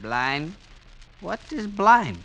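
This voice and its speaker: A man's voice: sits high, very animated, extremely slow, fairly bright tone, heavily acted. A man playing a stern, commanding blind villager who speaks in stilted phrases in an old radio drama. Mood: alarmed command. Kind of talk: 1940s-50s radio drama acting. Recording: noisy old radio broadcast.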